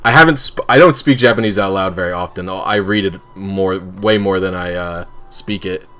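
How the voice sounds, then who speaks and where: Low-voiced man chatting on a game stream. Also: moderate pace, sharp tone. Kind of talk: casual livestream chat.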